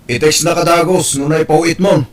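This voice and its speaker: A man's voice: on the high side, steady tone, moderate pace, close to an everyday voice. A man acting as an evasive, freeloading older brother in an audio drama, talking casually in an Ilocano accent. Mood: casual demanding.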